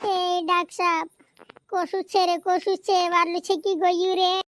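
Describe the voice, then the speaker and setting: A man's voice: very high-pitched, flat delivery, unhurried, a bit bright, no echo. A man voicing a distraught rustic villager with a rural Madhya Pradesh accent, pleading repetitively in an amateur cartoon comedy. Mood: anxious.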